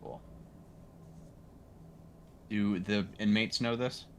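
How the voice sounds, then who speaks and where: A man's voice: on the low side, fairly even intonation, very slow. A man playing a tabletop game, chatting casually and hesitantly as he searches for words. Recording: clearly noisy tabletop session recording.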